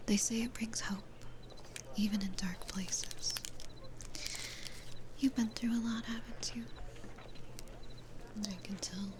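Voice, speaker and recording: A woman voicing a sweet, gentle demon florist in an ASMR roleplay, speaking in a soothing, breathy whisper with unhurried pauses. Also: mid-range voice, noisy background, close to an everyday voice.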